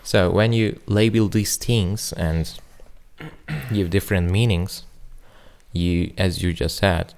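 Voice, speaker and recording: A man with a low, gravelly voice walks through an idea on a relaxed podcast. He fills the gaps with "like" and "um" as he goes, and his voice rasps with fry. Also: takes it slowly, slight background noise. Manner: explanatory, loose with fillers like "like" and "um". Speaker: podcast co-host. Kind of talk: casual podcast conversation.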